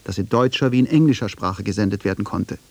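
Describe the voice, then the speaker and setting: A man's voice: on the low side, fast, vocal fry, breathy edge, dry recording. A man reading scripted documentary narration as the narrator of a radio feature.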